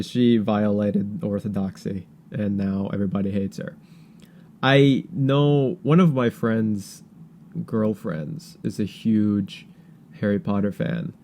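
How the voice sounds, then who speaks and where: Low-pitched, mellow man talking politics casually. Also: fairly even intonation, slow pace, slight background noise. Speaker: podcast host.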